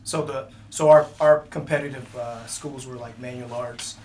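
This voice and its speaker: A man in his sixties, a police chief, answering interview questions in a halting way with many fillers. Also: medium pitch, steady tone, a little mellow, a little hiss or hum. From United States. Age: 60s.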